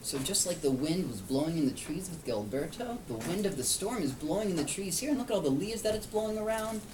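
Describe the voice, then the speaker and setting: Male storyteller for a small child, animated and explanatory, picked up from a distance over background noise. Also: high-pitched, a little fast. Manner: explains and asks simple questions for a child. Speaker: read-aloud storyteller to a young child. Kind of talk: interactive picture-book reading.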